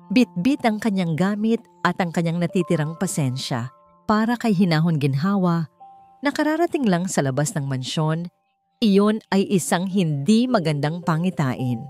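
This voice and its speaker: Lower-pitched woman narrating a dramatic story. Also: mellow. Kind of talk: dramatic scripted audiobook narration. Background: music.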